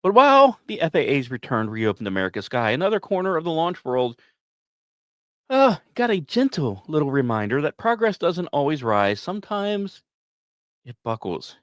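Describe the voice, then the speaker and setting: A man hosting a podcast, reading scripted narration with casual asides in a storyteller's manner, with dramatic pauses and emphasis. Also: fairly high pitch, sing-song, no echo, studio quality. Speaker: podcast host.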